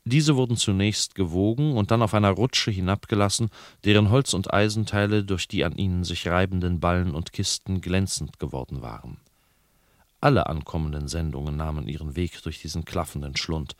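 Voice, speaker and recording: A man reading a novel excerpt as narrator, calm and measured. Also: low voice, dry recording, clean studio sound.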